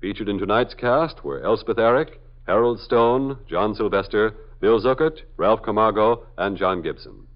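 A man's voice: lowish voice, lively intonation, normal speed, few pauses. A male radio announcer reading the closing credits in a formal manner. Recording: lo-fi 1950s radio recording.

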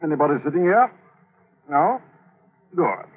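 A man's voice: on the high side, very animated, unhurried, husky, exaggerated voice. An actor playing a folksy, talkative traveling salesman in a 1940s radio drama, in short clipped phrases. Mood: chummy. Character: chatty, friendly, pestering male traveling salesman. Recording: tinny, hissy 1940s radio recording.

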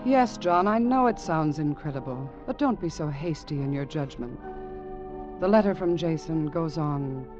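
An actor playing a grave man reading a letter aloud, in measured, emphatic mid-century radio drama narration. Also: a little high. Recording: muffled old radio recording. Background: music.

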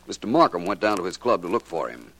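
A shrewd radio detective of the 1940s. His low voice swings expressively in pitch, while his manner stays polite, measured and sure of itself. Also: very animated, fairly bright tone, exaggerated voice. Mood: cool probing. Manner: measured, assured, formally polite. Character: shrewd, composed male detective, politely probing suspects.

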